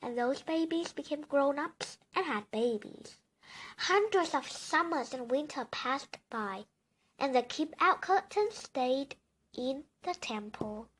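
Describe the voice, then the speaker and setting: Very high-pitched female voice, slowly reading a story. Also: lively intonation, fairly bright tone, slight background noise. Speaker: storybook reader for children. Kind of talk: read-aloud bedtime story narration.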